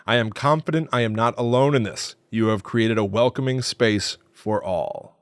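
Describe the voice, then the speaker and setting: A man's voice: medium pitch, normal speed, smooth, no room sound. A man co-hosting a podcast in a casual, chatty way. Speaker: podcast co-host.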